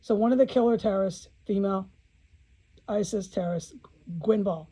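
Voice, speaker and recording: A woman's voice: medium pitch, normal speed, mellow, husky, low-quality recording. A woman speaking in an informal video monologue, blunt and agitated, swearing often and repeating phrases for emphasis, with an aggrieved manner.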